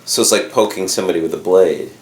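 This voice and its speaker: A man in his forties with a low, deep voice trades casual banter as a podcast co-host. The room adds a clear echo around his words. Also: fast. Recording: roomy echo.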